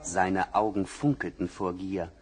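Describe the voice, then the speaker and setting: A man narrating a fairy tale for an old record, measured and expressive. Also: deep voice, over loud music. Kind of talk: storybook narration. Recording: vinyl record, faint hiss.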